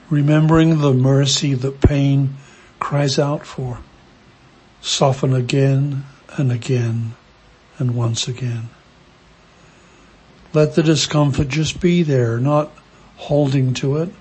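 A man with a very husky, breath-laden voice guides a meditation. He speaks very slowly and gently, in short hushed phrases. Long pauses separate each phrase from the next. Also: medium pitch.

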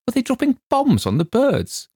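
A man's voice: extremely high pitch, wildly swooping pitch, moderate pace, warm tone, husky, no echo, clean studio sound, voice pushed up, full character voice. A man voicing an anxious, hopeful wife in an audio story, with eager, urgent questioning.

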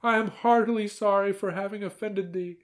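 Man puts on an elderly grandma, way up in falsetto, fervent prayer delivery. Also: steady tone, moderate pace, natural voice. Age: older.

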